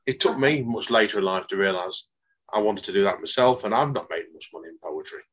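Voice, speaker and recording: A middle-aged man hosts a casual interview, talking quickly and tumbling through his questions. His voice is low and husky. He rambles, repeating stock phrases as he goes. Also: a bit bright. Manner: rambling, leans on "obviously" and "like I said". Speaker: podcast host.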